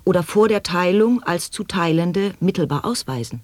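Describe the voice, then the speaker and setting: An actress in a 1980s radio play, posing formal questions in a measured, earnest way. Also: female voice, fairly low pitch, animated, darkish tone, no room sound. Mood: questioning. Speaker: voice in a sound-poetry radio play. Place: dry radio studio.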